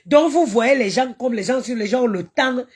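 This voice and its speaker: A woman's voice: on the high side, expressive, normal speed, a little mellow, husky. A woman from Cameroon, ranting indignantly on a live stream, stammering and chanting invocations. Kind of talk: impassioned live-stream rant.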